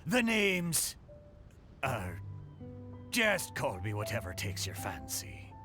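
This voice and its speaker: A man's voice: fairly low pitch, wildly swooping pitch, takes it slowly, exaggerated voice. A man voicing a jolly, boastful talking ship for a video game, with hearty, theatrical pirate slang. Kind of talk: video game character voice acting. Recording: music under the voice.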